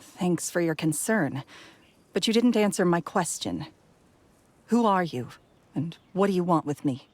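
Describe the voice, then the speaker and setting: A woman's voice: lowish voice, expressive, a little slow, long pauses, darkish tone, no room sound. A woman playing a guarded, mistrustful woman in a video game, curt and wary in her speech. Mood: suspicious.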